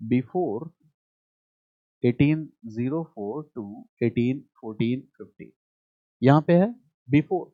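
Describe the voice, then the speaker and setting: A male Indian coaching teacher with an Indian English accent, giving a live online lecture, repeating points slowly for dictation and mixing English with Hindi. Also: on the high side, dry recording. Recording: tinny phone-like audio.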